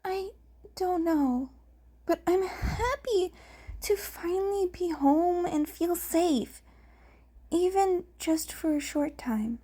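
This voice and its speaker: A woman's voice: very high voice, slow, dark tone, voice pushed up. A woman reading the part of an anxious, hesitant young boy twin in a story. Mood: relieved.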